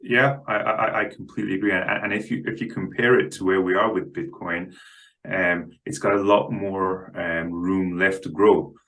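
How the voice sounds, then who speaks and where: A man speaking as a guest analyst in an unscripted interview, halting and often repeating the starts of words. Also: low-pitched, fairly even intonation, fairly bright tone, low-quality recording. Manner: halting, stammers on word starts.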